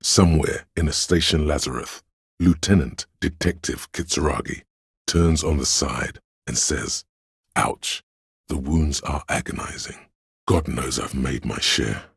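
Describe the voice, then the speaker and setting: A man playing a solemn, brotherly inner voice of police instinct in a video game, narrating in a measured, brooding, literary way with a gravelly, husky tone. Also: a bass voice, no echo, clean studio sound, heavily acted. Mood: brooding, hushed. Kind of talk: scripted second-person game narration.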